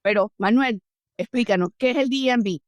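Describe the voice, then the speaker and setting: A woman podcast host with a slightly high voice who talks in a lively, chatty way. She switches back and forth between Spanish and English as she asks questions. The sound is close and dry. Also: fast, no room sound. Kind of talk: casual interview, mixing Spanish and English.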